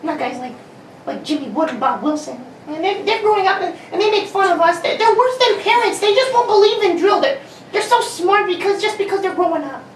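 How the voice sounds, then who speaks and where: A woman playing an eager, evasive little girl with childlike, stumbling excitability in an amateur radio drama. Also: extremely high pitch, fairly quick. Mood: scornful. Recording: roomy, distant mic, faint noise.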